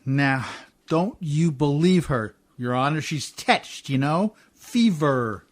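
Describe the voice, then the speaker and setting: An actor playing a scrappy, folksy working-class riverboat skipper in a radio play, with plain, ungrammatical speech. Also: male voice, a little high, animated, slow pace. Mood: sly, proud.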